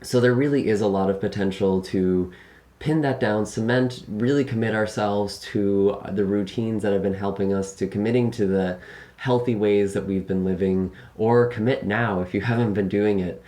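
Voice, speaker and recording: A young man giving a casual monthly tarot and astrology forecast to camera from a small room at home, slightly raspy from long recording, earnest with many fillers. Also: lowish voice, fairly even intonation, moderate pace, dark tone. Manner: earnest, filler-heavy, gestures with hands. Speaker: tarot reader.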